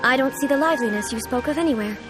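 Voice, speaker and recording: A woman voicing an almighty female ruler in a video game, formal, measured and aloof yet curious. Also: high voice, natural voice. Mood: composed, aloof. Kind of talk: scripted video game dialogue. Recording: background music under the voice.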